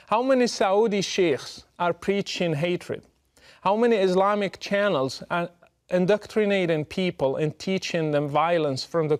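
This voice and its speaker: A man from Morocco with a Moroccan Arabic accent delivers a prepared political address in an earnest, emphatic and measured way. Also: high voice.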